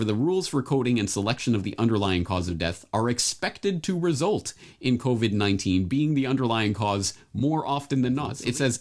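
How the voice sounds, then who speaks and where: A man's voice: medium pitch, a little mellow. A man reading scripted documentary narration, laying out information in a formal register.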